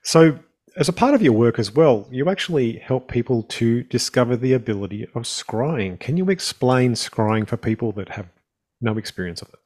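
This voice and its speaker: A man's voice: medium pitch, animated, moderate pace, a little mellow. A man interviewer speaking in a casual, reflective way, with many hesitations and restarts.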